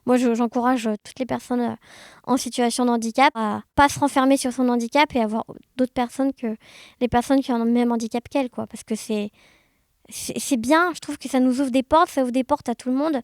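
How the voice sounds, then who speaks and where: Female podcast guest, high voice, dry close sound, conversational and enthusiastic delivery. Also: a little fast, dry recording. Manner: enthusiastic, expressive. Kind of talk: casual conversation.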